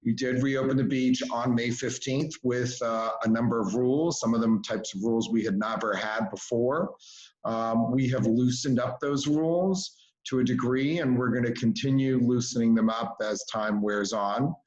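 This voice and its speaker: An American man giving an informal update as a local commissioner at an online public meeting, explaining things in a measured way. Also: mid-range voice, darkish tone. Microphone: video call. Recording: dull, lo-fi video call audio.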